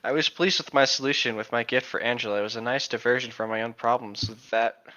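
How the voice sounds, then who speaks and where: A man's voice: mid-range voice, fairly even intonation, quick pace, sharp tone. A man co-hosting a podcast with casual, joking remarks, recorded in slightly muffled lo-fi sound. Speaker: podcast co-host reading aloud and commenting.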